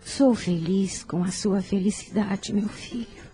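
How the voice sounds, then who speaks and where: Actress as a very mellow, gentle devoted mother. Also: medium pitch, steady tone, slow pace, faint music behind, natural voice. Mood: tender, content. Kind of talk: radio drama acting.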